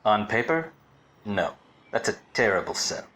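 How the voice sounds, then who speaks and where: A man playing a wisecracking, weary, obtuse private detective in a deadpan parody of 1940s radio drama. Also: lowish voice, measured pace. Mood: wry, deadpan. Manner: deadpan, hardboiled monologue. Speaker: lead actor and narrator. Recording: faint background noise.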